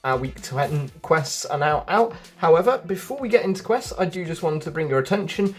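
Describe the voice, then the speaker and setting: A man's voice: fairly high pitch, expressive, fairly quick. A man giving casual, explanatory gameplay commentary as the host of a gaming video, with chatty asides. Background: background music.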